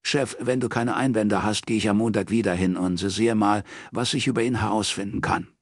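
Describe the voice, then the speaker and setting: A man's voice: lowish voice, fairly even intonation, moderate pace, dry recording, studio quality, voice pushed up. A man voicing a smug, flirty private detective with a pushy, bantering manner in an audiobook. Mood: eager, smug.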